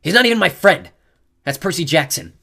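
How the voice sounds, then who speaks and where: A man's voice: mid-range voice, very animated, fairly quick, a bit bright, pitched above the performer's own voice. A man voicing a tough, angry teenage warrior girl who growls and snaps defensively. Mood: furious. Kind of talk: audiobook character voice.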